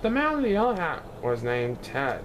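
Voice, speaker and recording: A man reads a children's storybook aloud in an animated storytelling manner, now and then stumbling over a word. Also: a little high, normal speed, background noise. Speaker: storybook reader.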